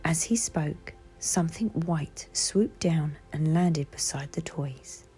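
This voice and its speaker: A woman reading a bedtime storybook aloud to children in a soft, breathy voice, with music behind. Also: sits low, slow pace. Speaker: children's book reader.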